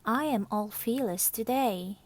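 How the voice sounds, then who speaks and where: A woman's voice: fairly high pitch, measured pace, darkish tone. A woman voicing a dainty young female cockroach in a storybook, polite and a little hesitant. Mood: nervous, coy.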